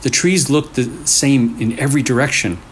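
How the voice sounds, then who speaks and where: Guy reading creepy stories out loud, careful short phrases, pauses for suspense, noisy audio. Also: medium pitch. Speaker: storyteller, channel host. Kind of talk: scary story read aloud. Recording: clearly noisy room recording.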